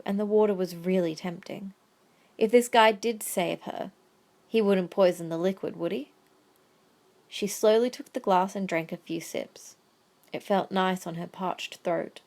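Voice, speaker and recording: A woman's voice: medium pitch, moderate pace. A woman reading scripted third-person story narration as the narrator of an audio drama.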